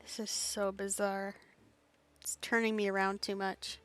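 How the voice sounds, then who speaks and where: A woman's voice: medium pitch, flat delivery, unhurried, fairly bright tone, clean tone. A woman game streamer giving casual solo commentary, muttering reactions to herself as she plays.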